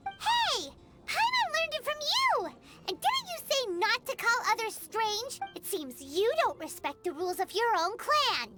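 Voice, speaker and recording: A small childlike fairy companion for a video game. The voice is squeaky and extremely high, with lively swings and an unhurried pace. The figure is cheeky and blunt, with music playing behind. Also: female voice, heavily acted. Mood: indignant. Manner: refers to self in third person, exclaiming.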